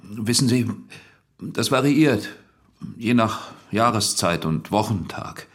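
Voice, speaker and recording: Low-voiced, guarded accused husband. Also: male voice, lively intonation, takes it slowly. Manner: guarded, terse. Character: accused married tradesman, guarded, uneasy adulterer. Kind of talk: audio drama acting.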